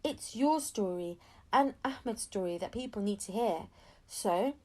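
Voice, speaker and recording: A woman's voice: mid-range voice, lively intonation, a little slow, darkish tone. A woman voicing a loving, decisive mother talking to her young child in a storybook. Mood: earnest, encouraging.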